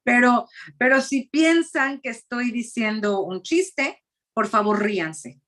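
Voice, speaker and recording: A woman, interpreting live on a video call, quickly passing each line along with small restarts. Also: on the high side, lively intonation, a bit bright. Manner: quick relaying, small restarts, laughs easily. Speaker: interpreter and host.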